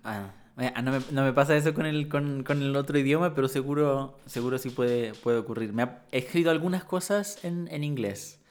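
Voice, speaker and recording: A man speaking as a poet guest in a reflective conversation, thoughtful and halting with many restarts. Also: medium pitch.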